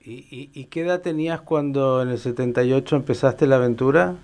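A man's voice: medium pitch, fairly quick, a little mellow. A man with an Argentine Spanish accent hosting a radio interview, playful and chatty, tossing in bits of English and German. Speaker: radio host.

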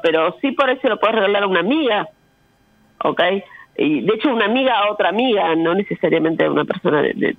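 A woman's voice: mid-range voice, no echo. Frank Argentine woman, slightly dull sound. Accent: Argentine Spanish. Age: 50s. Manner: frank, emphatic, talking with rhetorical questions. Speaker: interview guest speaking on sexuality. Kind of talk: candid conversational interview.

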